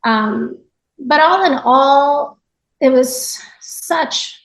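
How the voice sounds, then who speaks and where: Woman, from Japan, fairly high pitch, interview guest, reflective, conversational, frequent asides. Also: drawn-out words, poor audio. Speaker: podcast guest, yoga teacher. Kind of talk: reflective personal interview account.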